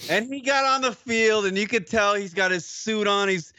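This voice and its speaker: A man's voice: high-pitched, normal speed, pushed and bright, no echo, highs cut off. A man co-hosting a radio show, bantering crudely and mocking a sideline reporter with put-on impressions. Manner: profane, mocking, acts out impressions.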